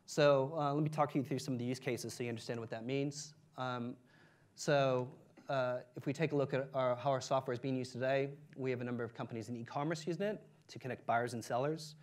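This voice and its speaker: Brief: male presenter, mid-pitch, energetic tech pitch, filler-heavy delivery. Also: fairly quick. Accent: non-Ukrainian English. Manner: energetic, many fillers, lifts pitch on key points. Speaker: startup presenter.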